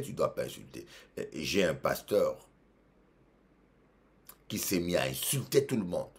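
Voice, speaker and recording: A Congolese-born bishop preaching in French with a Congolese accent, emphatic, repeating phrases and shifting between loud exhortation and quiet asides. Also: male voice, sits low. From Democratic Republic of the Congo. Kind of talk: preaching sermon.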